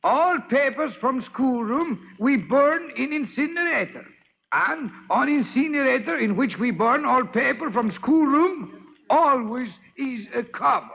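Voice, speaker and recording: A man's voice: extremely high pitch, expressive, unhurried, vocal fry, slightly rough, big-room sound, lo-fi sound, heavily acted. An actor playing an earnest male school janitor who speaks in broken English, on an old radio drama recording.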